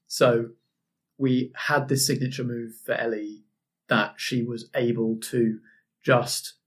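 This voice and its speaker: A man's voice: mid-range voice, fairly even intonation, takes it slowly. Male host and game master, mid-range voice, informal explanatory chat.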